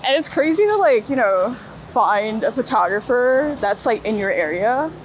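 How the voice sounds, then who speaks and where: A woman's voice: fairly high pitch, animated, normal speed, soft background music. A young woman from Brooklyn, New York, talking casually in informal, slangy speech peppered with "like" and "you know". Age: young adult. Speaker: street photographer being interviewed. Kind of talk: casual chat while walking. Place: outdoors on busy city streets. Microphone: wireless clip-on mic. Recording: noisy city street with traffic.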